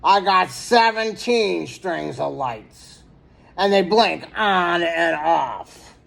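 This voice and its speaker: A man voicing a smug, bragging neighbor with a slow, taunting drawl in a children's storybook. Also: high-pitched, animated, gravelly, a bit bright, heavily acted. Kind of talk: children's storybook read-aloud.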